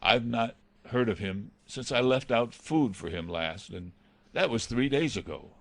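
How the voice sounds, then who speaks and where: A man's voice: mid-range voice, measured pace, fairly bright tone, no echo. A man playing an anxious, deferential butler in a radio mystery drama, earnest and hesitant. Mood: uneasy, deferential. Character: anxious male butler, deferential, dreading villainy.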